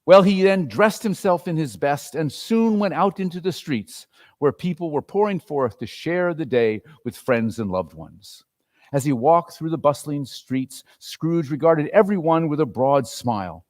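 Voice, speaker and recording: High-pitched, animated male storyteller. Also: measured pace. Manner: theatrical, animated. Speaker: story reader and performer. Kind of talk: dramatic scripted narration.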